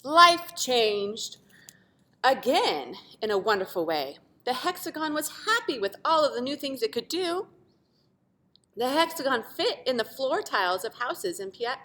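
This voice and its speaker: A woman's voice: high voice, sing-song, measured pace, pushed and bright. A woman reading a children's picture book aloud like a teacher, with dramatic emphasis and asides to young listeners. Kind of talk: expressive children's storybook read-aloud.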